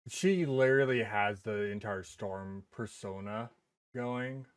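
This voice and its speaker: A man's voice: on the low side, takes it slowly, smooth, dry recording. A man hosting a podcast, chatting casually in a blunt, assertive way. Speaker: podcast host. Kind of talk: casual chat.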